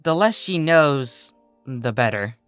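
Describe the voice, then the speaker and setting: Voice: medium pitch, lively intonation, slow, fairly bright tone, no room sound, faint music behind. A narrator voicing a practical, friendly but mildly exasperated female unicorn pony in an earnest storybook style.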